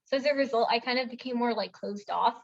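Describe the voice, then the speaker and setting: A woman's voice: fairly high pitch, fast, vocal fry. Chatty young woman, dull lo-fi sound. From India. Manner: chatty storytelling with many fillers and self-corrections. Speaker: podcast co-host. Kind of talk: casual chat.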